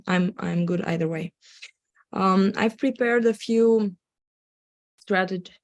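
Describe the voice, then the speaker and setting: A woman from Romania with a Romanian accent, giving a live webinar presentation over a video call while fighting a cold, her voice slightly squeaky and full of ums and restarts. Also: slow, no room sound. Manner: hesitant, many ums and restarts. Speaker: guest expert presenter.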